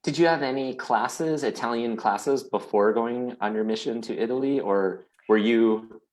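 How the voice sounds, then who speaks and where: A man's voice: medium pitch, measured pace, darkish tone, poor audio. A man hosting a casual online interview show. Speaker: host of a weekly chat show.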